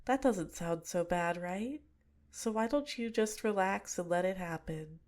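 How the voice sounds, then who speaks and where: A young woman voicing a cute but manipulative female villain in a soft-spoken, teasing ASMR roleplay, recorded close to the mic. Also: lowish voice, unhurried, natural voice. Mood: coaxing, menacing.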